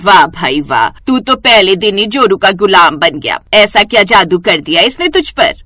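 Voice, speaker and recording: A woman's voice: mid-range voice, big pitch swings, normal speed, pushed and bright, dry recording, clean studio sound. A woman playing a domineering middle-aged mother-in-law in a cartoon story, with a scolding, taunting manner. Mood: scornful. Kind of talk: Hindi cartoon moral story acting.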